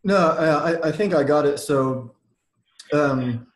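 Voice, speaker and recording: A man's voice: on the high side, slow, slight background noise. Young male host, casual chat, trailing delivery, echoey room. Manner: relaxed, filler-heavy, trailing off quietly. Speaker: podcast host.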